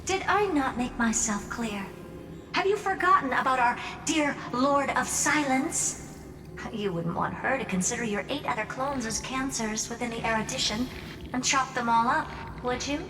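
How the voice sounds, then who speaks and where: A woman's voice: high-pitched, moderate pace, natural voice. Echoing, imperious woman issuing ultimatums actress performing. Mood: threatening. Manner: haughty, commanding, articulate. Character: imperious, threatening female judge coolly issuing ultimatums. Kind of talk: theatrical video game dialogue. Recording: echoey, distant reverb with music. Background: music.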